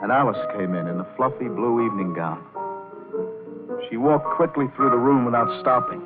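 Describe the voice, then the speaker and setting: An actor voices a lovestruck young American man telling his story in a brooding noir radio style. He is intense and quick to anger. The sound is tinny, narrow old radio with music clearly behind. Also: medium pitch, expressive, close to an everyday voice. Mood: brooding, intense narration. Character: lovestruck, hot-headed young male piano player. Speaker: actor, first-person narrator. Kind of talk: 1940s-50s radio drama acting, noir narration.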